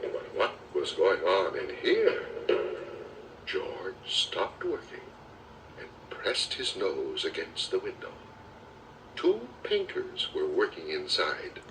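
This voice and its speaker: Male children's audiobook narrator, slow and lively, dramatic storytelling style, slightly distant cassette sound. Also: sits low, a little hiss or hum. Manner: expressive, dramatic storytelling delivery. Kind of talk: children's storybook read-aloud.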